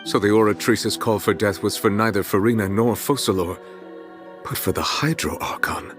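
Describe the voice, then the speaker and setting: A man acting as a dragon sovereign in a game cutscene, low-voiced and formal. He sounds grave and restrained, with an earnest sorrow, over faint music. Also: moderate pace, lightly acted. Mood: grave, questioning.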